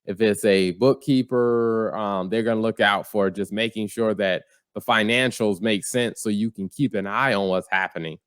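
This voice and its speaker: Man, podcast co-host, measured explainer, fairly low voice. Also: clear, resonant tone, no echo, clean studio sound. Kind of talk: casual podcast chat.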